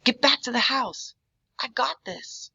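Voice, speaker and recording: A woman's voice: high voice, expressive, unhurried, vocal fry, pushed and bright, slightly rough, no room sound, muffled recording, voice pushed up, exaggerated voice. Woman playing a strained, urgent male stranger. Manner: strained, commanding.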